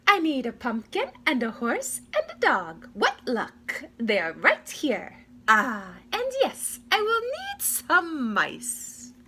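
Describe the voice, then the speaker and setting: Woman playing a kindly storybook fairy godmother, very high bright voice, excitable, sing-song. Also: slow, few pauses, a little hiss or hum, full character voice. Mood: bright, pondering. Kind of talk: children's storybook read-aloud.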